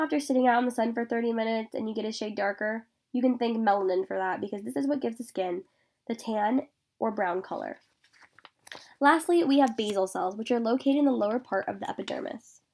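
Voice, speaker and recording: Congested young woman with gravelly edge. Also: on the high side, darkish tone. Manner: reading steadily through a list of facts. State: congested from a sinus infection. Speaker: student presenter. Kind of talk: informational class presentation over slides.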